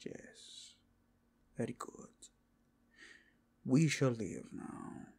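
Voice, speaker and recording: Man playing a stern, measured church inquisitor. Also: mid-range voice, gravelly, darkish tone, slightly rough, whispered, heavily acted. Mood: stern, reserved. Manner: formal, measured, solemn. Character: stern, formal, pious male inquisitor of the church. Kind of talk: audio roleplay acting.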